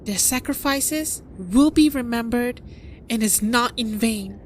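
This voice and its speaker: A woman's voice: on the high side, slow pace, a little hiss or hum, rough recording. A woman playing a serene, consoling alicorn pony princess, speaking formally and graciously in an audio drama. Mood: solemn, regal. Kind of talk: fan audio drama acting.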